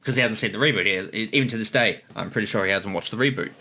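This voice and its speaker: A man's voice: on the low side, very fast, bright, forceful tone. A man giving casual behind-the-scenes creator commentary, eagerly stammering and repeating words as he explains.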